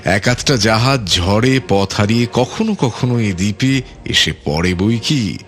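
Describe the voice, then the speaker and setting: An actor playing a controlling middle-aged island overlord in a radio play, deliberate and courteous with menace, repeating phrases with relish. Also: male voice, on the low side, lively intonation, a bit bright. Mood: guarded, lordly.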